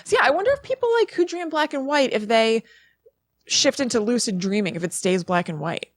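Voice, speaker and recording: A woman's voice: on the high side, lively intonation, brisk. A woman co-hosting a podcast, explaining things in a casual, informal, musing way with plenty of filler words. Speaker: podcast co-host presenting research.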